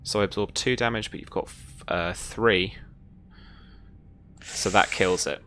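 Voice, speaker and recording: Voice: sits low, unhurried, fairly bright tone, slight background noise. A game master running a tabletop roleplaying game in a casual way, talking players through rules and outcomes.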